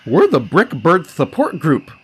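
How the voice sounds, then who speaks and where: Man acting out an excitable, high-pitched pelican. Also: a little slow, breathy edge, exaggerated voice. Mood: earnest, pleading. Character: excitable compulsive pelican in a hard hat. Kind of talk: comic storybook character reading.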